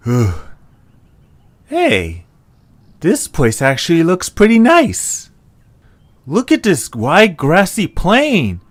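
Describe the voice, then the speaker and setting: Man acting an excitable, complaining young adventurer, swooping pitch. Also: exaggerated voice. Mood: relieved. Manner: dramatic, exclamatory, slangy. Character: excitable, complaining young male creature adventurer, good-hearted. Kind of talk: amateur audio drama acting.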